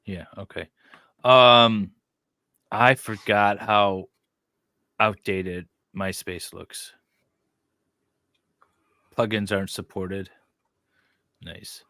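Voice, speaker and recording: A man's voice: fairly low pitch, steady tone, very slow, pauses often, bright, forceful tone, dry recording. A man in casual chat as host, reading a web page aloud and adding sarcastic, mocking commentary.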